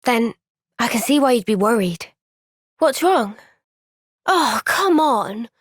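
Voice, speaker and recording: An actress voicing a gentle, caring young female soldier in a game cutscene, speaking softly and earnestly. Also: on the high side, expressive, takes it slowly, breathy edge, dry recording, clean studio sound, full character voice. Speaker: game character. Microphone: close studio mic.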